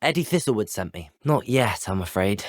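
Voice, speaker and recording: A man's voice: lowish voice, lively intonation, fairly quick, no echo, studio quality, lightly acted. A young man with a British accent playing a polite, curious, brave teenage student wizard in a video game. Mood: courteous, earnest. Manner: polite, well-spoken, formal. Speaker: video game player character. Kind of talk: scripted video game dialogue.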